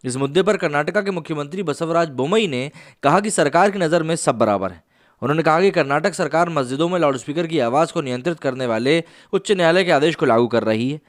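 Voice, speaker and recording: A man's voice: fairly high pitch, animated, fast. A young man reading news reports for his online channel, mostly in formal phrasing with casual asides to the viewers. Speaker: news commentator.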